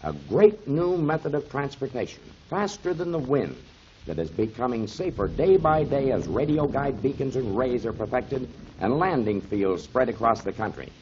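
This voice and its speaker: Man acting a kindly, animated old-time radio storyteller. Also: medium pitch, normal speed, a little hiss or hum, natural voice. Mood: enthusiastic explaining. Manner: avuncular, explanatory, emphatic. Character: kindly grown man, storytelling mentor to children, pipe smoker. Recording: muffled 1930s radio recording.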